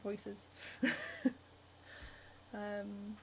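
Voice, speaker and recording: A woman's voice: medium pitch, completely flat delivery, drawn-out words, vocal fry, darkish tone, a little hiss or hum, rough recording. A young woman with a Northern English accent, hosting a casual livestream while she sews, reading out viewer comments and chatting with lots of giggles, ums and likes. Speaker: cosplayer and livestream host. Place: home, hand sewing while streaming.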